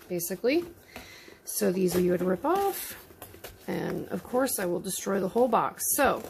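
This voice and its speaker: A woman's voice: medium pitch, gravelly, a little hiss or hum. A woman casually chatting to her viewers as she unboxes tiny miniatures at her table, talking to the items as she handles them. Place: indoors at a tabletop.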